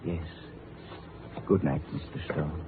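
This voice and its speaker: A man's voice: very deep voice, steady tone, slow. A man playing a sad, resigned, broken-down old musician in a 1950s radio drama. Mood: regretful, resigned. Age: older. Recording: faintly noisy narrowband old radio.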